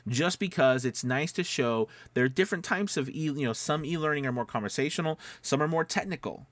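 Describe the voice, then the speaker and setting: A man's voice: fairly high pitch, brisk, a bit bright, no room sound. A man talking casually as a voice-over coach, explaining things step by step in a conversational, emphatic way and often checking in with listeners.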